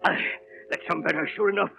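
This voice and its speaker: An actor playing a gruff old rum-soaked sea captain, blustering and pleading in halting, gravelly speech, in 1930s radio drama style. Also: male voice, sits high, steady tone, over music. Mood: fearful, confiding. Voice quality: gravelly, creaky. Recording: muffled old 1938 radio recording.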